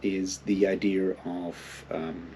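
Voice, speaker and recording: A man giving a casual, unscripted book commentary in a halting, rambling way, with plenty of filler phrases. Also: low-pitched, little pitch movement, dark tone, a little hiss or hum, poor audio. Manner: halting, hesitant, rambling delivery with frequent hedging filler phrases. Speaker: book reviewer and readalong host.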